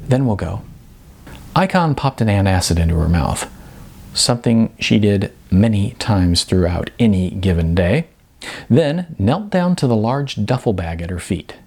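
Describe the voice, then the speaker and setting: Deep, husky man narrating a novel. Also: a little mellow. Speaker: author reading their own novel. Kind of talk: audiobook narration, expressive storytelling.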